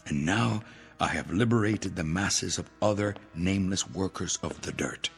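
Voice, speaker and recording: An actor playing a vengeful, gloating revolutionary leader from Latin America in a theatrical television drama. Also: male voice, sits low, vocal fry. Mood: righteous, cold. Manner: grandiose, menacing, drawn-out oratory. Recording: echoey room with dramatic music behind.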